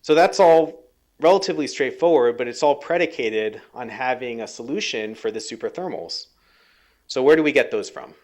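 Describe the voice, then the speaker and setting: Male scientist lecturing, halting delivery, slightly worn voice, mid pitch. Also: expressive, darkish tone. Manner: halting, many ums and restarts. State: losing his voice a little. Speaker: scientist giving a research talk. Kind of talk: technical lecture.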